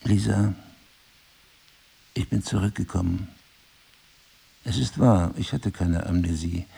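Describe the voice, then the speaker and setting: An actor playing a remorseful middle-aged husband in an audio drama, speaking in a husky, gravelly, deliberate and intimate way. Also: male voice, low voice, dark tone, heavily acted. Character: remorseful, pleading husband, brooding and passionate.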